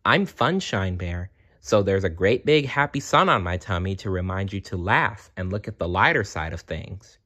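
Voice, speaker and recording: A man's voice: sits low, animated, moderate pace, few pauses, bright, forceful tone, exaggerated voice. A man voicing cheerful, caring cuddly teddy bears from a children's storybook in a friendly, encouraging manner.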